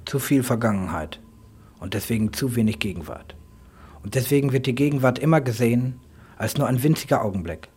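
A man speaking reflective spoken-word radio prose in halting fragments, with a husky voice. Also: mid-range voice, animated, a little mellow.

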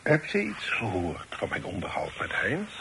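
A man acting in a Dutch radio play as a worldly, authoritative middle-aged father, speaking in a measured, formal way. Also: on the low side, huge pitch swings, creaky, bright, forceful tone, slight background noise, heavily acted. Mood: discreet, calm. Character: authoritative, worldly father advising his grown son. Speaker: radio drama actor.

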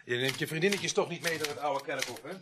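An actor playing an easygoing, wry country police sergeant in a radio play, with a folksy, avuncular way of talking. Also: male voice, fairly high pitch, fast, lightly acted. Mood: dry, teasing. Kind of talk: radio drama dialogue. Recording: noisy radio play recording, typing behind.

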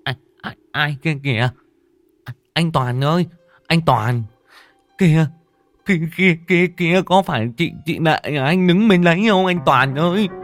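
A scared young villager in a horror audio story, played by a man. He stammers and repeats himself, his voice pushed up high with fear. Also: unhurried, fairly bright tone, no room sound, soft background music. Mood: panicked.

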